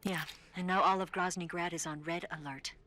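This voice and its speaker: An actress playing a cool female spy in a video game, speaking in a terse, secretive, often hushed way. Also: on the low side, fairly even intonation, brisk. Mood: grave, urgent. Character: cool, urgent female spy guiding an escaping prisoner. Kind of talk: dramatic video game voice acting. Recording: music behind the voice.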